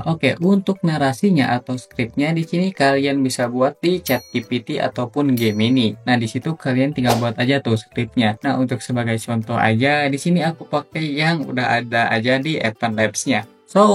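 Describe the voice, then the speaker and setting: Indonesian man hosting a chatty tutorial over music. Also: medium pitch. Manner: chatty, slangy, addresses viewers directly. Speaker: YouTube tutorial host. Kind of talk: casual scripted tutorial narration.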